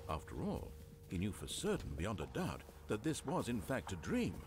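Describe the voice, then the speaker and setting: A man narrating a video game in a measured, wry, theatrical storytelling manner over game music. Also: lowish voice, wildly swooping pitch, background noise.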